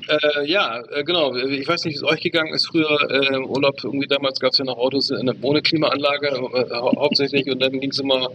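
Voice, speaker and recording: A male podcast co-host chatting casually, haltingly repeating words as he searches for the next one. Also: medium pitch, steady tone, pushed and bright, slight background noise, poor audio. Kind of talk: casual chat.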